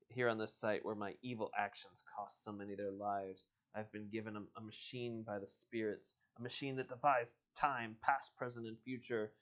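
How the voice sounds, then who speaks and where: A man voicing a solemn, penitent ghost with grave, measured delivery in a storybook reading. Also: fairly low pitch, fairly bright tone, lo-fi sound, natural voice. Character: solemn male ghost atoning for evil deeds.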